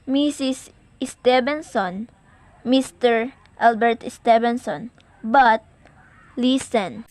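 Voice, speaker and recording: A woman's voice: on the high side, takes it slowly, darkish tone, dry recording. A woman playing an anxious, imperious married lady on the telephone in an amateur radio play, insistent, fretful and easily exasperated. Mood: impatient, worried. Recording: noisy amateur recording.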